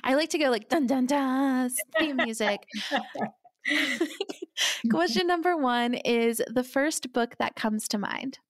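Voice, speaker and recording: A woman's voice: high voice, slow pace, dry recording. A woman hosting a podcast, chatty and enthusiastic as she interviews a guest. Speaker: podcast host.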